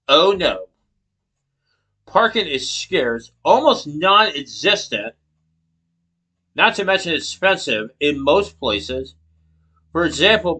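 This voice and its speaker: A man's voice: a little high, bright, forceful tone, low-quality recording. A man in his thirties giving a persuasive class speech into a laptop webcam in a small room, asking rhetorical questions. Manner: rhetorical questions, emphatic hand gestures. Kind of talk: persuasive speech, partly read from notes. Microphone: laptop webcam from below.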